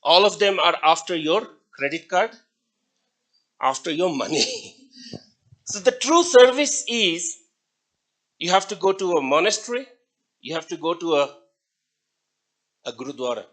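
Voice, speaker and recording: A man's voice: sits high, sing-song, unhurried, pauses often, a bit bright. A middle-aged man with an Indian English accent, a native Hindi speaker, giving a classroom lecture, telling stories and putting questions to the class with small chuckles. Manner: asks the class questions, chuckles between points.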